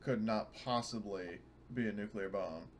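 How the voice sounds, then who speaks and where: A man's voice: fairly low pitch, moderate pace, a little hiss or hum. A man playing a tabletop game, casually arguing his opinion and reasoning things through.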